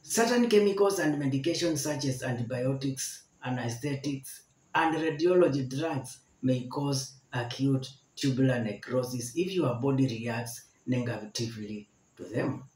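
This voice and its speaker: Slow, repetitive man explaining medicine. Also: mid-range voice, darkish tone. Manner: slow, repeats each point to explain it. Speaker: medical educator. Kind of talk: health lesson to camera. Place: indoor room with barred window. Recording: echoey room.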